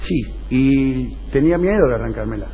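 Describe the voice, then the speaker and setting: A man's voice: medium pitch, expressive, moderate pace. A man with an Argentine Rioplatense accent hosting a radio comedy show, telling absurd stories in a mock-serious way as improvised dialogue. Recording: dull, noisy radio recording.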